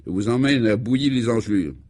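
A man's voice: medium pitch, brisk, a little mellow, lightly acted. A man voicing a breezy, boastful male friend with a hearty, slangy, eager way of talking, in a comic radio serial. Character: breezy, boastful, enthusiastic male pal on the telephone.

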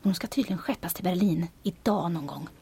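An actress playing a commanding resistance captain with a husky voice, giving crisp orders. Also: female voice, fairly low pitch, warm tone.

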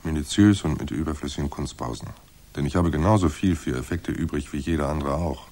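A man's voice: a bass voice, fairly quick, darkish tone. An actor playing a cocky, wry and unflappable male private detective in a German radio crime drama. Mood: wry narration. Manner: wry, unflappable, mock-courteous. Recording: roomy echo with faint noise.

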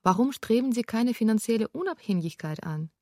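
A woman's voice: fairly high pitch, lively intonation, brisk, warm tone, no echo. A woman from Belarus narrating a radio documentary and introducing interviewees.

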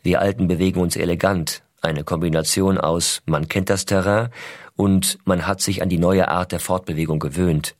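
Low-voiced astronaut father reading letters. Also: fairly even intonation, normal speed, no room sound, studio quality. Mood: observant, gently amused. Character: American astronaut father writing tender letters to his small son. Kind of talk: scripted reading of personal letters.

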